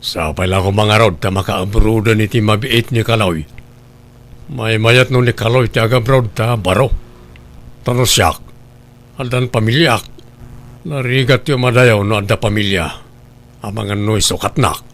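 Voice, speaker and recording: A man's voice: fairly low pitch, measured pace, pauses often, a bit bright. A man acting as a grumbling, reproachful husband weighed down by debt, in an audio drama. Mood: worried.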